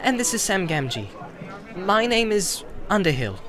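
A man's voice: sits high, big pitch swings, moderate pace, crowd noise, pitched above the performer's own voice. A man voicing a polite, hesitant young male hobbit traveller asking for lodging.

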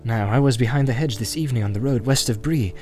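A man voicing a grim, wary, secretive wandering ranger with a deliberate, cautioning and wry manner in an audiobook. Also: mid-range voice, darkish tone, faint music behind, natural voice. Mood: grim, guarded.

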